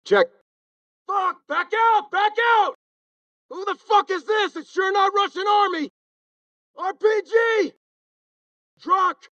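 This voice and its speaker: An actor playing an intense, profane American Marine, clipped and urgent, in video game battle chatter. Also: male voice, extremely high pitch, takes it slowly, pauses often, pushed and bright, dry recording, dull audio, heavily acted. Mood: urgent shouting.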